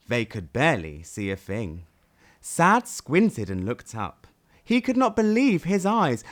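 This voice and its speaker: A man reading a children's audiobook as narrator, with dramatic, expressive delivery. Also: fairly high pitch. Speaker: audiobook reader.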